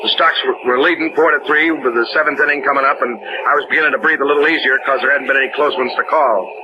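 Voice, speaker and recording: A man's voice: mid-range voice, fairly even intonation, fast talker, close to an everyday voice. A man playing a weary, short-tempered baseball umpire in an old radio drama that he also narrates. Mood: tense narration. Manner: terse, exasperated. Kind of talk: old-time radio drama acting with first-person narration. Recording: dull, noisy old radio recording.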